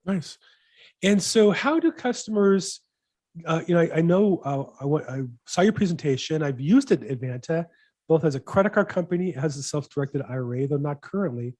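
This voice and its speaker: A man's voice: a little high, expressive, moderate pace, dark tone. A man hosting a weekly real estate video call, upbeat and enthusiastic, asking long rambling questions. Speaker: host, real estate broker. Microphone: video call mic.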